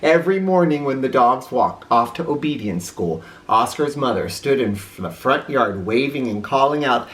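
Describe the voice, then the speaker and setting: A woman reading a children's story aloud in a theatrical, expressive way, with an informal touch. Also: a bass voice, normal speed, hardly any pauses. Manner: theatrical, with informal asides to listeners.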